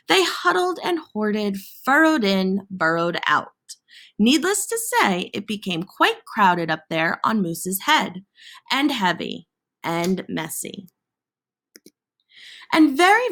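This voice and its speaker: A woman reading a children's picture book aloud in an expressive, theatrical way with dramatic pauses and emphasis. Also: mid-range voice. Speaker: storybook reader.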